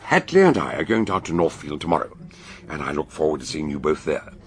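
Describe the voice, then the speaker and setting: A hearty older British sleuth in a radio play. His voice is very low and creaky, and it swings widely as he probes with ponderous, theatrical emphasis. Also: big pitch swings, fairly quick, exaggerated voice. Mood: shrewd, probing. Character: shrewd, hearty older male amateur detective. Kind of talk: radio drama acting and narration.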